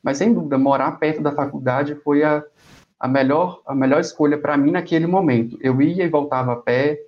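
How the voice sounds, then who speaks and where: A man's voice: a little high, a little fast. Young Brazilian man, dull recording. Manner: relaxed, rambling with restarts. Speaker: exchange student guest. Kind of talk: conversational interview.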